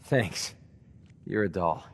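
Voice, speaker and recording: Low-voiced, earnest American mercenary. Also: male voice, takes it slowly, slight background noise. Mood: sincere, grateful. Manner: earnest, hesitant, confiding. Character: weary, sincere young mercenary and grieving widower. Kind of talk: video game dialogue acting.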